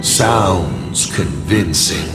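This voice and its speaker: A man's voice: a bass voice, lively intonation, extremely slow, exaggerated voice. An actor voicing a smug, sardonic villain in a video game, theatrical and mockingly urbane as he drawls out his taunts. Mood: mocking, playful. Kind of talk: dramatic video game voice acting. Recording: loud music under the voice. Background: loud background music.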